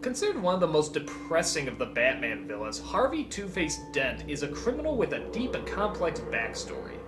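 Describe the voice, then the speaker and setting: A man's voice: a little high, a little fast, music in the background. A man narrating a scripted comedic review in an emphatic, exclamatory way. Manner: animated, emphatic, exclamatory, profane. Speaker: video reviewer and countdown host.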